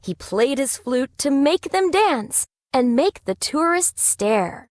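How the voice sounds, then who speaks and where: A woman narrating a rhyming children's story in an expressive read-aloud style. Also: high voice, slow pace, no room sound, clean studio sound.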